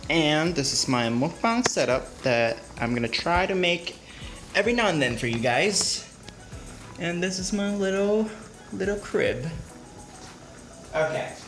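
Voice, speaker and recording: A man's voice: a little high, sing-song, a little slow, long pauses, a bit bright. Young man, from Hong Kong, playful cooking chatter, roomy echo, music behind. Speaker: vlogger, home cook. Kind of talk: casual cooking vlog chat. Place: small apartment room. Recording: echoey room with music behind.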